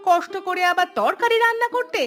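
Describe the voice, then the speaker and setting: An exasperated village mother from a cartoon, acted by a woman, with a high and forceful voice. She scolds and complains in an animated way, with music behind her. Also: fast talker. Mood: exasperated, scolding. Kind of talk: Bengali cartoon voice acting.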